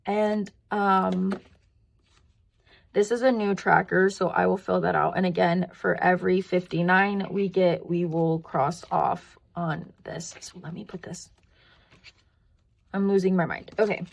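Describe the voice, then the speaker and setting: Woman, budgeting vlogger, croaky tired voice, vocal fry, husky, casual rambling narration, thinking aloud. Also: on the low side, steady tone, a little slow. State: voice tiring after long filming. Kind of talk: casual chatty hands-on narration.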